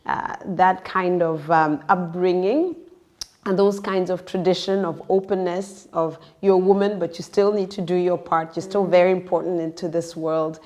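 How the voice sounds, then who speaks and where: Low-pitched woman, thoughtful and halting. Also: steady tone, a little mellow. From born in Senegal, grew up in the United States. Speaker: interview guest, entrepreneur. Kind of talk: reflective personal podcast conversation.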